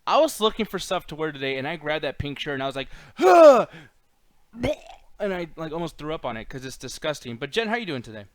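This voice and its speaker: A man hosts a casual stream at a quick clip, his voice slightly high and smooth. He is blunt and jokey, sprinkling in slang and profanity as he chats. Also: very animated, dry recording. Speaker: host of a live stream. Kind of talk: casual chat.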